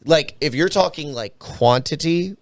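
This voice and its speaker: A man's voice: fairly high pitch, measured pace, no room sound. A man co-hosting a podcast in casual banter, blunt and teasing, often cutting in. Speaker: podcast co-host.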